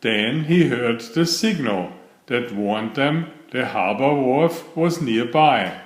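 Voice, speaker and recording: A man reading a children's bedtime story aloud in a deliberate, expressive storybook manner. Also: mid-range voice. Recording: roomy, echoing voice recording.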